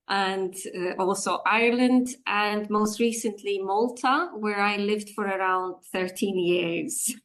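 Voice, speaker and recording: A woman's voice: medium pitch, takes it slowly, a bit bright. A woman in her forties from Poland, chatting as an interview guest about her work, with frequent laughs and fillers. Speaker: guest, business English communications coach. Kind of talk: casual interview chat.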